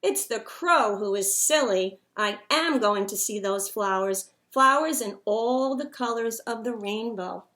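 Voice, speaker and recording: A woman's voice: a little high, unhurried, hardly any pauses, fairly bright tone. A woman voicing a wistful, hopeful and naive male snowman from a picture book. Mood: muttering, determined. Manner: doubtful muttering, calling out, weary sighing.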